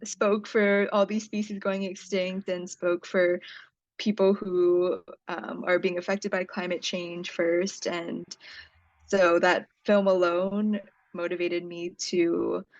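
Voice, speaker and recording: A woman's voice: fairly low pitch, flat delivery, takes it slowly. A young woman from Hawaii telling personal stories conversationally as a podcast guest, on a slightly dull recording. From Hawaii, United States. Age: young adult. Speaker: podcast guest, climate impact producer. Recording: roomy, slightly dull lo-fi sound.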